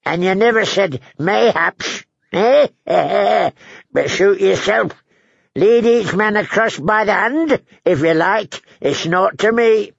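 A man's voice: sits high, animated, slow, vocal fry, breathy edge, dry recording, highs cut off, pitched above the performer's own voice, full character voice. A man voicing a querulous, leering, wrinkled old lord in an audiobook, drawing out his words and breaking them up with querulous grunts. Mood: testy, mocking. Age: elderly. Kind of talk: audiobook character acting.

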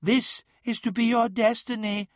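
Ancient demon god, high and taunting. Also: male voice, steady tone, measured pace, no echo, studio quality. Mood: tempting, scornful. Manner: grandiose, taunting, commanding. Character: ancient boastful demon god speaking from a shattered mirror.

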